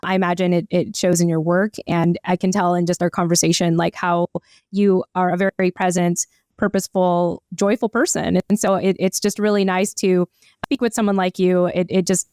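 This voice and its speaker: A woman hosting a podcast, asking questions in a warm, affirming way with many fillers. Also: on the low side, little pitch movement, normal speed, clean tone, no echo, studio quality. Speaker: podcast host. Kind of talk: casual interview chat.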